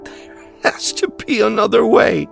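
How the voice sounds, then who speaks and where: A man voicing an anguished mother who cries and pleads in a high, cracking, breathy voice for an audiobook drama. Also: lively intonation, a little slow, heavily acted. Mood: terrified anguish. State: crying. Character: anguished mother, pleading and wailing for her son. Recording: music behind the voice.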